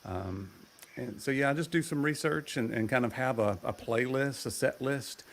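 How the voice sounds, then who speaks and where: A man with a low voice, chatting easygoing as a guest, rambling with fillers. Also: moderate pace. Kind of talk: casual chat.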